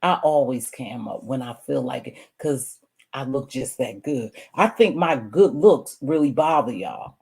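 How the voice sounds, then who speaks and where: Streamer woman, hoarse, sassy, scolding, casual rant straight to camera viewers. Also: expressive, unhurried. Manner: scolding, sassy, swings between loud outbursts and low mutters. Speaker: online streamer.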